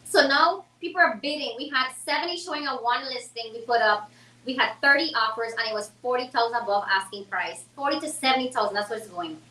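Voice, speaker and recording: A woman's voice: fairly high pitch, fairly quick, sharp tone, distant mic. A woman realtor, a guest on a talk show, chatting casually and animatedly, laughing often. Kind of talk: casual chat. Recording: roomy sound from off mic.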